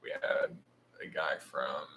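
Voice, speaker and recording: A man from Oklahoma, co-hosting a casual chat, with a deep voice and a mumbling way of talking. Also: wildly swooping pitch, slow, vocal fry, sharp tone, low-quality recording. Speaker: co-host.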